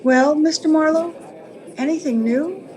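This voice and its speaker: Woman acting high-voiced, slow, wistful noir lady. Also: a little mellow, poor audio. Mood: anxious. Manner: halting, breaking phrases, earnest. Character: wistful, sentimental married woman, pleading and lovelorn. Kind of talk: old-time radio melodrama acting.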